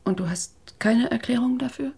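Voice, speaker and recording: An actress playing a hurt, distrustful, tired wife in a German radio drama. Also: female voice, fairly high pitch, moderate pace, gravelly, darkish tone, breathy edge, heavily acted. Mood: guarded.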